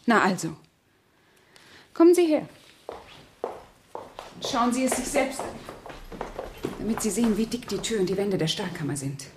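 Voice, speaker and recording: An actress playing a cold, scheming murderess, controlled and imperious, in a 1970s German radio crime drama. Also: female voice, medium pitch, animated, normal speed, long pauses, background noise, natural voice. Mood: insistent, controlled. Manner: controlled, imperious, coolly persuasive.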